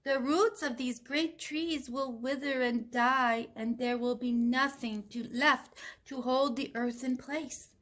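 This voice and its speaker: A woman's voice: high voice, steady tone, slow pace, a bit bright, voice pushed up. A woman voicing an urgent, indignant monkey from a children's storybook, speaking with insistent emphasis. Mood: urgent warning. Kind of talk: children's storybook read-aloud.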